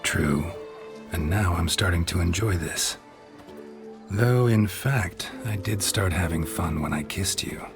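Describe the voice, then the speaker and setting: A laconic male monster hunter from a fantasy game. His voice sits very low, gruff and dry, speaking in clipped deadpan lines with quiet tenderness over clear background music. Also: takes it slowly. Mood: wry, warm. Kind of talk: video game dramatic acting.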